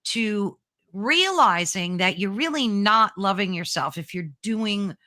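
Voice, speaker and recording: A woman hosting an interview, effusive and quick to agree and praise. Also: mid-range voice, lively intonation, bright, forceful tone, no room sound, clean studio sound. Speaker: host. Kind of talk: interview chat.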